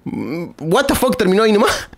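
An Argentine man with a fairly high voice reacts live in a casual, slangy way. His pitch swings widely as he exclaims and repeats short phrases. He is close on a desk mic, with music playing clearly behind him. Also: very animated, brisk. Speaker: streamer reacting to video. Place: indoor room at streaming desk. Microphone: close desk microphone on boom arm. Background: cartoon music.

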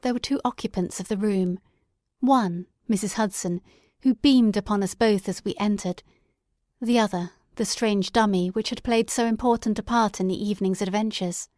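A woman's voice: medium pitch, moderate pace, mellow, no room sound, studio quality. A woman reading scripted literary narration as an audiobook narrator.

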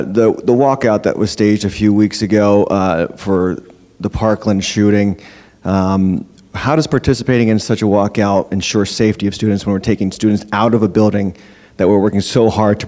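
Low-voiced man in an echoing hall. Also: a little mellow. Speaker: parent in the audience. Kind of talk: question at a town meeting. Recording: hall reverb in an auditorium.

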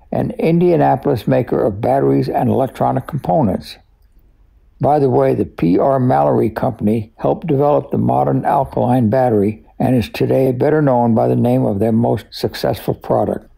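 A man narrates technical history as an expert collector, in a conversational but scripted way. His voice is very dark and mellow, heavily creaky and husky around the edges. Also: medium pitch, rough recording. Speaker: radio collector and expert guest.

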